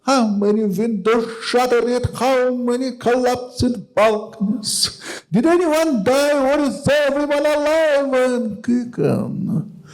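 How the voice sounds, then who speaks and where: A man who speaks with a heavy accent, slowly reading an English translation of a poem at a live reading. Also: very high-pitched, animated, a little mellow, poor audio. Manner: heavy accent, slow deliberate delivery. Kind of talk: poetry reading. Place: gallery reading event.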